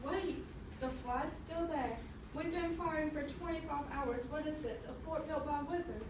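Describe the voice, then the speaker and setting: A baffled, exasperated British naval officer, acted by a woman. The voice is high and comes from far off the mic. The comic stage reading has clear noise around it. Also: normal speed, close to an everyday voice. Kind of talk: amateur readers theater comedy. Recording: very echoey room, far from mic, noisy.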